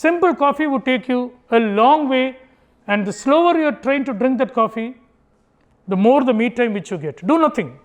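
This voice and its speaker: A man's voice: extremely high pitch, fairly quick, highs cut off. A man from Kerala with an Indian English accent, giving a conversational public talk to an audience. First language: Tamil. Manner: animated, acts out family dialogue in Tamil. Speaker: speaker giving a motivational talk. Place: auditorium.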